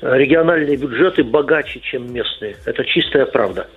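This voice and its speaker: A middle-aged man, a guest on a radio talk show, explaining at a measured pace with frequent pauses and fillers. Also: medium pitch, slightly rough. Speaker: guest lawmaker. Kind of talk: radio interview answers. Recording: tinny phone-like radio line.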